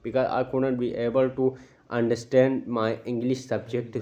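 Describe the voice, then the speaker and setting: Halting young Pakistani man, Shina speaker. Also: medium pitch, dark tone, highs cut off. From Gilgit-Baltistan, Pakistan. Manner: halting, many filler pauses and restarts. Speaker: student speaking to camera. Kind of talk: unscripted personal talk.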